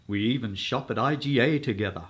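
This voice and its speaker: A man's voice: mid-range voice, brisk, natural voice. A man playing an eager, polite older suitor in a comic radio soap, earnest and ingratiating. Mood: lovestruck.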